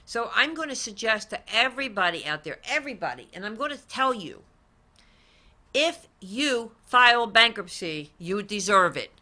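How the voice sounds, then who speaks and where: A forceful, bright-voiced woman hosting a talk show straight to camera. She speaks emphatically and drives her points home by repeating them. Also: on the high side, big pitch swings, moderate pace. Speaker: talk show host and credit advisor.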